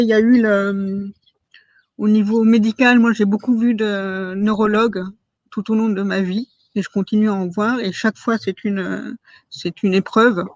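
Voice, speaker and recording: A woman's voice: mid-range voice, darkish tone, no room sound, muffled recording. A woman in her forties giving a personal testimony in an interview, hesitant, with many fillers and restarts and words she stresses as if in quotes. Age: 40s.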